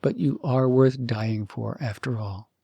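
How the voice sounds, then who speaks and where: A man's voice: medium pitch, steady tone, unhurried, creaky, dark tone, breathy edge, no echo. A man voicing a patient, lovesick young prince in a fairytale, humble, earnest and faint.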